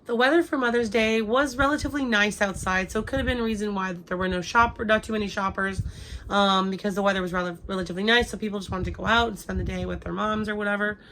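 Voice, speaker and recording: A woman's voice: medium pitch, fairly even intonation, quick pace, fairly bright tone, slight background noise, poor audio. A young woman with a cold, chatting casually to her viewers with lots of ums and restarts. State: has a cold. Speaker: crochet vlogger. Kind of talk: casual chat to camera.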